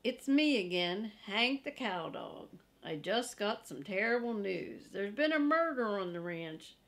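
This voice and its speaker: A woman's voice: medium pitch, expressive, unhurried, a bit bright. A woman reading a storybook as a boastful, grumbling male guard dog, speaking in a folksy, emphatic manner. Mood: proud, folksy.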